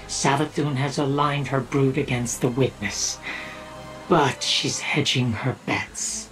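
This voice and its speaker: Game casting: man as seasoned commander, mid-pitched, serious, measured briefing delivery, faint score behind. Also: natural voice, poor audio. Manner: deliberate, measured. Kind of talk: video game mission briefing.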